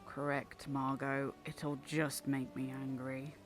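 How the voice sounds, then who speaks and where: A man's voice: medium pitch, little pitch movement, slow pace, soft background music, close to an everyday voice. A man playing a stubborn, irritable, sick young student in an audio drama, testy and dry.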